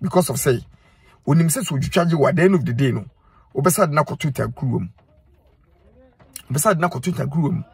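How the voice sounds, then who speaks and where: A man's voice: a little high, animated, quick pace, gravelly, long pauses, husky, dry recording. A middle-aged Ghanaian man speaking Twi, giving earnest, scolding advice straight to his phone camera from inside a car, and repeating lines for emphasis. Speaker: social media commentator. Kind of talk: direct-to-camera advice monologue. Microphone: phone held close.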